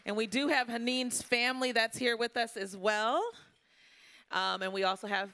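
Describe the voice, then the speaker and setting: A woman's voice: fairly high pitch, fairly even intonation, moderate pace, sharp tone. A woman hosting an informal live panel, talking in a folksy, colloquial, encouraging way. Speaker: panel moderator. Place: indoor luncheon event before an audience. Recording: live event room with crowd.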